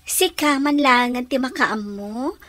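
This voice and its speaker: Sweet student sweetheart, high bright voice, tender girlish radio-drama delivery. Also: dry recording, exaggerated voice. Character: sweet, grateful young female student in love. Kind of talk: Ilocano radio drama acting.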